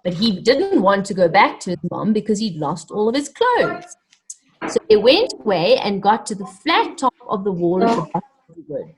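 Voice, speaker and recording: A woman reading a story aloud to children, animated and encouraging, pausing to ask them questions directly. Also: medium pitch, slight background noise, low-quality recording. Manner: animated, encouraging, addresses young listeners directly.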